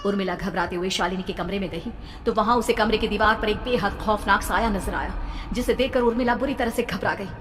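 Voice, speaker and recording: A woman's voice: mid-range voice, brisk. A woman telling a horror story as dramatic scripted narration. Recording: long reverb, background noise.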